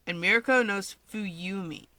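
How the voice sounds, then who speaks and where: A woman's voice: mid-range voice, slow, pushed and bright, dry recording. A woman voicing a bossy, sardonic young male villain and big brother in a story reading, dryly threatening and teasing. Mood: smug, dry.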